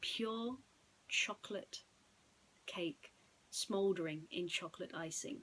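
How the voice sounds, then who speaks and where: A woman's voice: lowish voice, slow pace, fairly bright tone, a little hiss or hum, poor audio. A woman storyteller narrating a bedtime story in a dramatic, suspenseful way.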